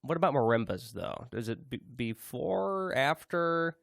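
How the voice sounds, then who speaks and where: Young male co-host, animated pitch, playful, dry home recording. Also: a little slow, vocal fry, dry recording. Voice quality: can go very low. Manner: playful, imitates instrument sounds. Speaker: podcast co-host. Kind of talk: casual chat.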